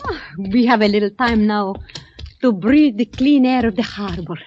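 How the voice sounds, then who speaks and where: An actress playing an ardent, mysterious woman of the waterfront, speaking slowly and dramatically in a 1940s radio drama. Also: a little high, animated, close to an everyday voice. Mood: hushed, urgent. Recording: muffled vintage radio recording, light noise.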